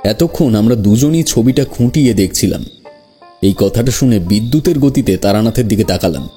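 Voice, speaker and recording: Low, mellow male story narrator. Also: expressive, moderate pace, soft background music. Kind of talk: scripted first-person horror story narration.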